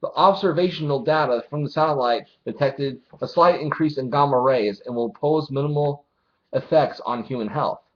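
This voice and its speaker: A man's voice: on the high side, normal speed, highs cut off. A man doing a casual, informal read-along, narrating a story with his own commentary and some swearing. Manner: informal, swearing, acts out dialogue. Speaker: manga reviewer reading chapters aloud.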